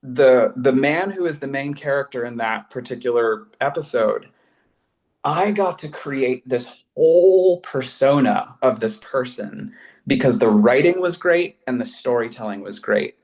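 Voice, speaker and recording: A man's voice: mid-range voice, animated, unhurried, darkish tone. Enthusiastic man in casual discussion. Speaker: podcast creator on a discussion panel. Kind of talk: casual panel conversation.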